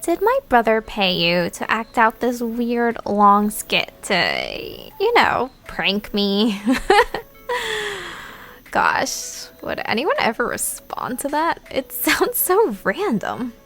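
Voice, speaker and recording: An intrigued but skeptical narrator in an audio drama. She thinks aloud at an unhurried pace with lively swings in pitch, hesitant and informal, over soft music. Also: exaggerated voice. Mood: musing. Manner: hesitant, self-questioning.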